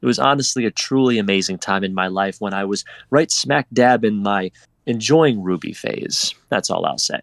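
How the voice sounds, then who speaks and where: A man's voice: on the low side, moderate pace, gravelly, no echo. A man speaking as a guest on a casual panel, in a wordy, effusive way with florid phrasing. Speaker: panelist, fan audio drama contributor.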